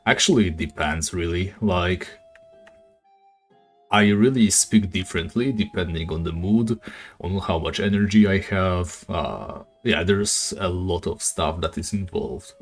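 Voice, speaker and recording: Male streamer, non-native English speaker, very low raspy voice, easygoing rambling talk, faint music underneath. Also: measured pace. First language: not English. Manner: relaxed, rambling, many fillers. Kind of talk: casual live-stream chat while gaming.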